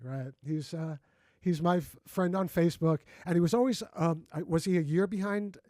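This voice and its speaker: A man's voice: on the high side, normal speed, darkish tone, dry recording, studio quality. A man in his sixties hosting an interview, reminiscing effusively and often restarting his sentences. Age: 60s. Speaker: host. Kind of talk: casual interview conversation.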